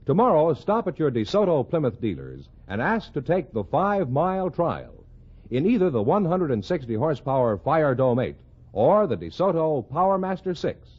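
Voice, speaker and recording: A man's voice: a little high, big pitch swings, moderate pace, husky. An American man reading a scripted 1950s radio advertisement as the announcer. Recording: dull vintage radio broadcast recording.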